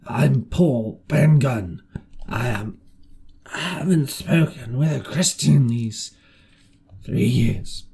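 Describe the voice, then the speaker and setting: A man voicing a ragged, marooned old sailor in an audiobook, half-wild and pious, with a hoarse, halting, rustic way of speaking. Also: mid-range voice, lively intonation, darkish tone, exaggerated voice. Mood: awkward. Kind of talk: audiobook character voice.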